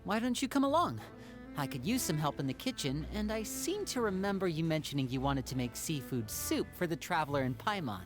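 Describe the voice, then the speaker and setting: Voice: mid-range voice, big pitch swings, fairly quick, over music, close to an everyday voice. An actor voicing a friendly, charming young stage magician in a game.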